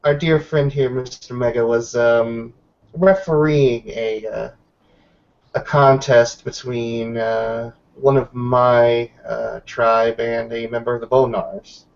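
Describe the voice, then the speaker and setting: A man with a mid-pitched, gravelly voice talks through an improvised roleplay. He is wry and picks out words with pauses. The recording is a bit dull. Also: little pitch movement. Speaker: player in an online roleplaying session. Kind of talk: in-character improvised roleplay talk with narration.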